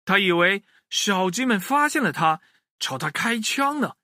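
Guy doing kids storytime, really lively pitch, bright voice, takes his time. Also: measured pace, no echo, studio quality. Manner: expressive, addresses young listeners directly. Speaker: children's storyteller. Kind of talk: animated children's storytelling.

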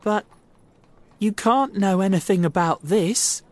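A man voicing a weak, nervous, excitable little man in an acted storybook, sounding timid and agitated. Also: high-pitched, slow, pitched above the performer's own voice.

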